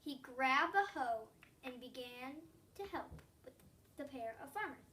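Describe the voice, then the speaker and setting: A young schoolgirl narrates a storybook in a high, childlike voice. She reads with expression and now and then trips on a word. The microphone sits a little away from her. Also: unhurried, distant mic, slight background noise. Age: child, about eight or nine (3rd grader). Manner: expressive reading with occasional stumbles. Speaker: young student reading a storybook aloud. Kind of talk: read-aloud story narration.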